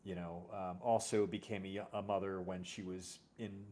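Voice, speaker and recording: A man's voice: sits low, level tone, normal speed, mellow. A man hosting a casual conversation about music, talking in a rambling, self-correcting way. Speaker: host.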